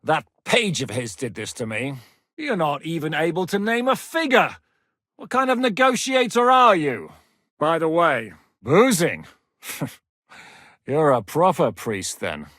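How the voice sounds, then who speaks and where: A blunt, swearing rebel commander. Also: male voice, on the high side, very animated, a little slow, long pauses, a bit bright, no room sound, clean studio sound. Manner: blunt, swearing, dryly joking. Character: gruff, blunt, one-eyed male rebel commander. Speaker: military commander.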